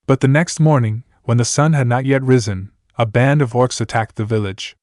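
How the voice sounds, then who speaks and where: A man voicing a soft-spoken village mother. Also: medium pitch, moderate pace, dry recording, clean studio sound, close to an everyday voice. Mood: calm. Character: gentle village mother, grown woman.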